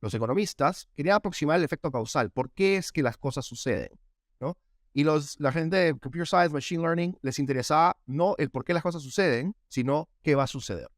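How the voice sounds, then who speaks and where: Very fast-talking Peruvian man. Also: a little high, no room sound, studio quality. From Peru. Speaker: podcast guest, AI executive. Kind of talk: casual interview talk.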